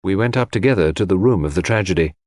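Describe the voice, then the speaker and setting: Low-voiced, expressive male audiobook narrator. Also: fast, no room sound, studio quality. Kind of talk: scripted first-person story narration.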